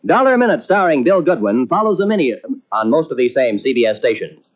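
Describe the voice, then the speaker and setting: A man's voice: mid-range voice, very animated. A man announcing credits and station breaks in formal 1940s radio style. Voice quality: brisk, formal announcer delivery. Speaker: network announcer. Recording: dull lo-fi old radio tape.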